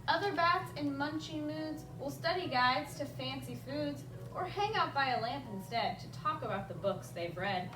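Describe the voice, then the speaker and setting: A woman hosting a children's story time, reading a picture book aloud expressively and chatting casually to the young listeners as an animated storyteller. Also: sits high, moderate pace, hardly any pauses. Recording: roomy, distant microphone, music behind.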